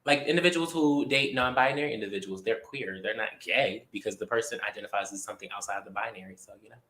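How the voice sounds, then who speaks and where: A man co-hosting a casual talk show, talking in an animated, expressive way with drawn-out emphasis. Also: on the low side. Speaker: podcast co-host. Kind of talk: casual chat. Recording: echoey room.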